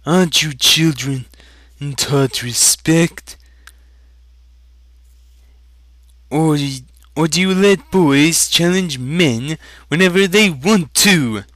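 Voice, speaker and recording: A man voicing a thin, condescending traitor who reasons smoothly in a dramatic fantasy reading. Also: a little high, slow pace, fairly bright tone, no room sound, studio quality, pitched above the performer's own voice. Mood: condescending, indignant.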